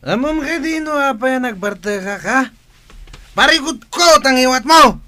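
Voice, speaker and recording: A male actor as a cranky, drunken husband in an audio drama. The voice sits extremely high for a man and is sharp and forceful. He scolds and argues defensively. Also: animated, moderate pace, heavily acted. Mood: quarrelsome, irritated. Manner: scolding, argumentative. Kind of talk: Philippine audio drama acting.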